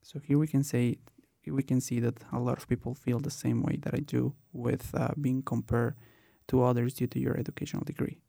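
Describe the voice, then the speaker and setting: A man's voice: medium pitch, level tone, fairly quick, vocal fry, warm tone, no echo, studio quality. A man in his twenties from Colombia, hosting a student podcast and telling his own story with many "uh" and "um" fillers. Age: 20s. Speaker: podcast host. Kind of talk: casual podcast talk.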